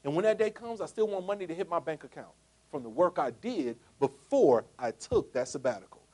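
A man in his forties giving a motivational sales talk to a live audience. He is jokey and asks the crowd rhetorical questions, swinging between loud punchy lines and quiet asides. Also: fairly high pitch, animated, fairly quick. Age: 40s. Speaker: speaker pitching a business opportunity.

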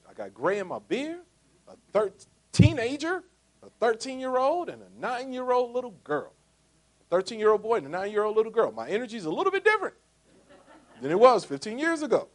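A man in his forties giving a motivational sales talk to a live audience. He is jokey and asks the crowd rhetorical questions, swinging between loud punchy lines and quiet asides. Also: very high-pitched, very animated, fairly quick. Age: 40s. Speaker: speaker pitching a business opportunity.

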